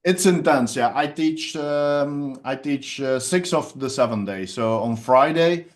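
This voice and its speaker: A middle-aged man with a Belgian Dutch accent talks in a relaxed video-call interview. His speech is halting, full of fillers and restarts, at an everyday middle pitch. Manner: hesitant, many fillers and restarts. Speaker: hypnotherapist and trainer. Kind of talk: casual interview chat.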